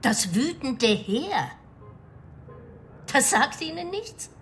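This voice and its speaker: An actress playing a grave, anxious middle-aged widow from the provinces in a radio crime drama, speaking hesitantly and with foreboding. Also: female voice, high voice, big pitch swings, very slow, a bit bright, soft background music, full character voice. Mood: ominous, hesitant.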